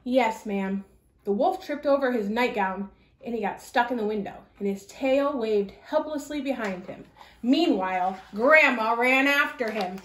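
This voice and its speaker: A woman with a fairly high voice reads a children's story aloud. Her narration is expressive, and the room adds a clear echo. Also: moderate pace. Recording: echoey room.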